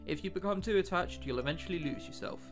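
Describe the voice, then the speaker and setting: A man reading aloud as a calm young woman, speaking gently and philosophically. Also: lowish voice, fast talker, over music, lightly acted. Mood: serene.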